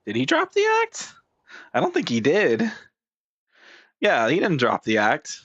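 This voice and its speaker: A man's voice: on the high side, wildly swooping pitch, unhurried, fairly bright tone, slightly rough, no echo, lo-fi sound. A man giving casual gameplay commentary as a streamer, slangy and often repeating his phrases. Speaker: game streamer.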